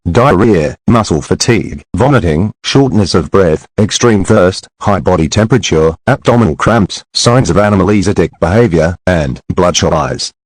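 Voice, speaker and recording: Low-voiced man acting as grave emergency announcer. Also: darkish tone, slightly rough, dry recording, low-quality recording. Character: grave male emergency broadcast announcer delivering final warning. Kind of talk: scripted emergency alert narration.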